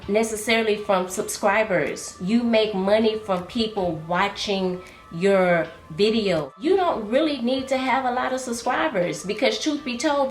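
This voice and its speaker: Lady doing her makeup on camera, bit stuffy and nasal, chatty, roomy echo with faint music behind. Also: medium pitch. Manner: chatty and confiding, calls viewers baby and girl. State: congested, slightly nasal. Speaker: beauty and fragrance vlogger. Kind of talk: casual get-ready-with-me chat. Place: room at home, doing makeup. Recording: echoey room with faint music.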